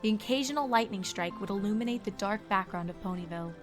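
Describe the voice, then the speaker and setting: A female narrator reads a scripted story in a medium-pitched voice. Music plays underneath her narration. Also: fast talker.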